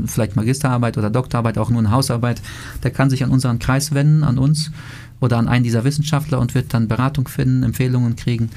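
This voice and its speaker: A man, a native speaker of Plautdietsch, explaining calmly in a radio interview, with frequent fillers. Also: mid-range voice. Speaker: interviewee, language association member.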